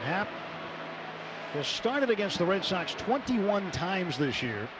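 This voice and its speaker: Guy doing radio baseball play by play, voice a bit high, noisy stadium behind him. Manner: measured between plays, excited on big plays. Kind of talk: live radio sports commentary. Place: stadium broadcast booth. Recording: noisy live ballpark radio broadcast.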